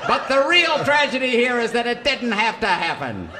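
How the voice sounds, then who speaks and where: An actor playing an oily, grandiose pitchman in a parody radio commercial. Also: big pitch swings, moderate pace, background noise. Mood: grandly earnest. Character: smarmy evangelizing radio pitchman selling gadgets. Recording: reverberant theater hall.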